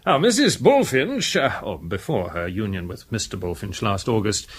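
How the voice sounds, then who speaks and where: An actor playing a jovial, teasing English chief inspector in a British radio drama, jocular and courteous. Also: male voice, fairly low pitch, very animated, moderate pace, breathy edge.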